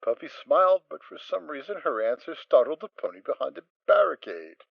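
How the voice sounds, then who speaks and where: A man reads the narration with lively, swinging intonation. His voice sits in a middle register. The recording is dry and a little dull. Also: fairly high pitch, brisk, vocal fry, no echo. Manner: expressive storytelling. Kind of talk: dramatic story narration.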